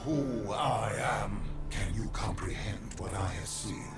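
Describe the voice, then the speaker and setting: Slow, theatrical godlike villain. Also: male voice. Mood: grandiose, indignant. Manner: theatrical, slow and grandiose, swinging from hushed to booming. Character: menacing all-powerful godlike male villain, grandiose and obsessive. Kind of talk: dramatic video game villain acting. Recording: roomy echo under loud music.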